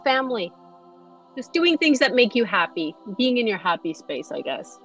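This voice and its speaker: A woman's voice: on the high side, very animated, normal speed, a bit bright, soft background music. A woman speaking casually and conversationally as an interview guest. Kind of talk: casual chat. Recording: slightly dull, lo-fi sound.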